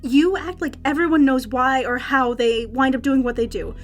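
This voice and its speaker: A woman acting a high-voiced, cheeky fight announcer. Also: steady tone, moderate pace, lightly acted. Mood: defensive. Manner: teasing, flippant, defensive. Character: cheeky, evasive female announcer of underground fights. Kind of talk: audio drama acting. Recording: music under the voice.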